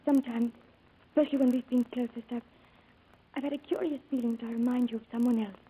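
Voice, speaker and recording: A woman playing a tender, perceptive young bride-to-be, gentle and earnest, in 1940s radio drama style. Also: fairly high pitch, fairly even intonation, fast, breathy edge. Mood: tender, wounded. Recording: muffled old radio recording, music behind.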